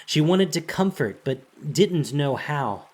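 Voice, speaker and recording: Slow, dramatic male story narrator. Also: on the high side, expressive.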